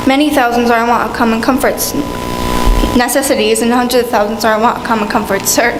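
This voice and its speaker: An earnest charity collector in a live radio play, acted by a woman. The voice is fairly high and formally polite, with hall echo and noticeable noise around it. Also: little pitch movement, fast talker, lightly acted. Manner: formal, courteous. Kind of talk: stage radio play acting. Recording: echoey hall, clear background noise.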